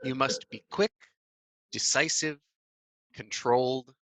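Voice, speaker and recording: A man's voice: medium pitch, fairly even intonation, slow, fairly bright tone, dry recording, low-quality recording. A man joining by video call as a poetry reader, with an easy, informal delivery. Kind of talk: casual greeting and poem reading.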